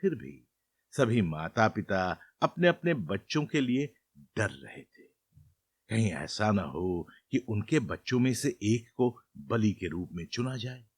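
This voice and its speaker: Man narrating with slow, lively intonation. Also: fairly low pitch, slightly rough, no room sound. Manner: measured, expressive storytelling. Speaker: storyteller narrator. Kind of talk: scripted fairy tale narration.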